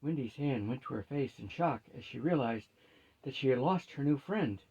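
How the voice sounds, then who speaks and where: A man's voice: mid-range voice, lively intonation, moderate pace, darkish tone, husky, lo-fi sound. A man reading a fantasy story aloud as scripted narration.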